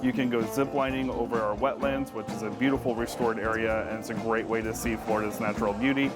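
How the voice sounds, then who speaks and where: A man's voice: fairly low pitch, fairly even intonation, fast. A man giving informative interview answers as a staff spokesperson for an attraction. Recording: loud music over interview. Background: music.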